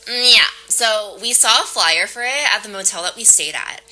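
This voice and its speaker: Young female client, mid-range, sharply bright voice, hesitant casual delivery, naturalistic audio drama. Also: medium pitch, lively intonation, moderate pace, rough recording. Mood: chatty. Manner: hesitant, chatty, slangy. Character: hesitant, casual young woman recounting a strange experience.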